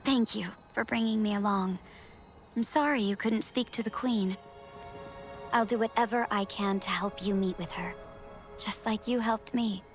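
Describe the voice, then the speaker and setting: A woman's voice: mid-range voice, moderate pace, faint music behind, natural voice. A woman voicing a kind, earnest young female companion in a fantasy game, polite and sincere.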